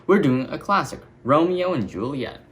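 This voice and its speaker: A man's voice: on the high side, lively intonation, moderate pace, fairly bright tone, slight background noise. A man reading a storybook as an encouraging male hedgehog toy who directs plays.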